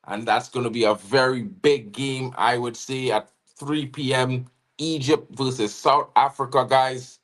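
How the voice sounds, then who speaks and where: A man with a Trinidadian accent chats casually through a live football watchalong stream. His voice sits in an ordinary middle pitch. He talks loosely and sociably, greeting viewers by name and peppering his talk with "you know what I mean". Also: fairly even intonation, unhurried. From Trinidad and Tobago. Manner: chatty, shouting out chat names, frequent "you know what I mean". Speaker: watchalong co-host.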